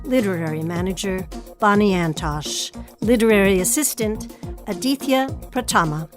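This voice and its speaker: A woman's voice: mid-range voice, normal speed, music in the background. A woman hosting a theatre podcast, interviewing guests in a studio discussion. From Transylvania family background.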